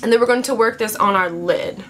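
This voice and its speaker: A young woman with a bright, clear voice and a slight rasp, chatting playfully as she does her makeup at a home vanity. She talks to the camera mic, with faint music behind her. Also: medium pitch, fairly quick. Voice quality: raspy. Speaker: beauty vlogger. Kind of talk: casual makeup tutorial chat. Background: faint background music.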